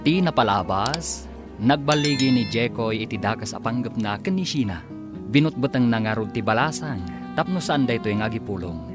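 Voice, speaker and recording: A man announcing and narrating an Ilocano radio drama in a scripted, drawn-out style. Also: medium pitch, expressive. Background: music.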